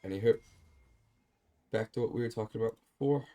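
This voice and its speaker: A man calmly walking through a replay of his own game, explaining his choices, with a slightly stuffed-up voice. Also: on the low side, fairly quick. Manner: calm, explanatory, self-deprecating. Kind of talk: gameplay commentary over a replay.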